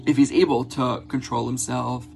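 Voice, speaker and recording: A man giving a religious class as a rabbi, reading Hebrew lines and explaining them in English in a halting way, often repeating words. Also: medium pitch, steady tone, a little mellow. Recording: clear background noise with a hum.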